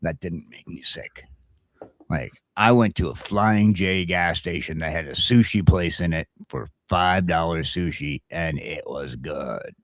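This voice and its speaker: A man's voice: low voice, measured pace, a bit bright, dry recording. A middle-aged American man hosting a casual, comedic morning chat show, telling rambling stories with crude humor. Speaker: talk show host.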